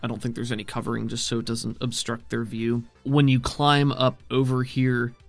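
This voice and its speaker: A man's voice: mid-range voice, level tone, moderate pace, gravelly, no room sound, faint music behind. A man narrating and refereeing a tabletop roleplaying game as game master.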